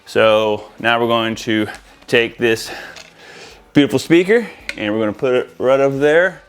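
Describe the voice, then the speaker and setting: A man with a mid-pitched voice gives a casual walkthrough as an installer. He speaks informally and directly to his viewers, explaining hands-on work as he goes. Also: unhurried, clean tone, faint music behind. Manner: informal, addresses viewers as guys. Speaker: audio-video installer presenting to viewers.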